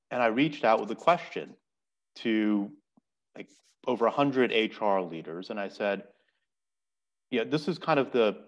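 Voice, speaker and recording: A middle-aged man, a podcast guest, explaining step by step in a thoughtful, conversational way over a video call from a home office. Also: on the low side. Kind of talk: conversational interview answers.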